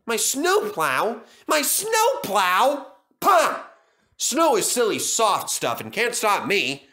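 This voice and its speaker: Guy doing a cocky lil storybook train, stubborn and excitable, pitched way up, super animated. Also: slow, heavily acted. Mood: indignant. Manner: boastful, emphatic exclamations.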